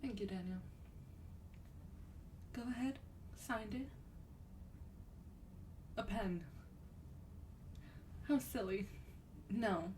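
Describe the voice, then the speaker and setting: A woman playing a sweet, teasing vampire demon in a gentle, breathy, partly whispered ASMR roleplay. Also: mid-range voice. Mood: gentle warning. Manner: gentle, polite, unhurried, coaxing. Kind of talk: soft-spoken ASMR roleplay. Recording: distant mic, clear background noise.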